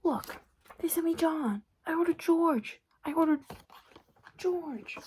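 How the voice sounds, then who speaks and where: A woman speaking softly and breathily in an ASMR roleplay, often slipping into a whisper, chatty and a little hesitant. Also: very high voice, expressive, slow pace. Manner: hesitant, chatty, murmured reading aloud. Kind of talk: soft-spoken ASMR roleplay. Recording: noticeable background noise. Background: paper and tapping sounds.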